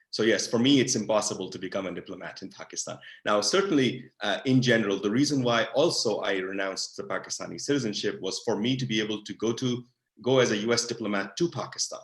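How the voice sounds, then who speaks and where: Male diplomat, fairly low register, measured and searching, recorded with room echo. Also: fairly bright tone. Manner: thoughtful, with frequent fillers and restarts. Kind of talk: answering audience questions. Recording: roomy echo.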